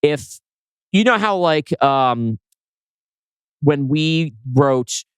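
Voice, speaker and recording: A man's voice: on the high side, expressive, extremely slow, smooth, no room sound, studio quality. A man talking casually as a podcast co-host, discussing writing in a conversational way. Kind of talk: casual chat about scriptwriting.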